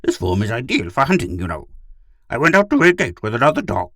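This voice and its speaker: A man's voice: mid-range voice, huge pitch swings, brisk, gravelly, fairly bright tone, no echo, studio quality, voice pushed up, full character voice. A man voicing a complacent, carefree alien shapeshifter in dog form for an audiobook story. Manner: carefree, stubborn, earnest. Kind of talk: audiobook character voice.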